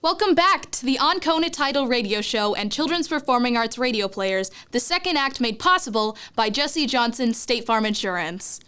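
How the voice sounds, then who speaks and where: A young woman serves as a radio show announcer. Her voice is high, and she reads scripted presentation and sponsor notices. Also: animated, moderate pace, few pauses, sharp tone, clean tone, faint music behind.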